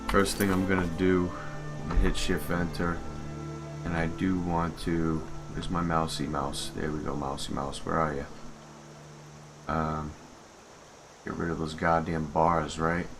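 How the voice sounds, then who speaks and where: A man's voice: very deep voice, little pitch movement, unhurried. A man giving casual gameplay commentary as a modder, informal and muttering to himself. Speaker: game modder and video host. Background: video game music.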